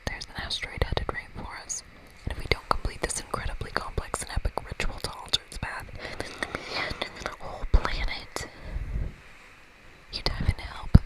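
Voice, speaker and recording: A woman's voice: moderate pace, a bit bright, rough recording. A woman whispering as an ASMR host, reading a story game aloud.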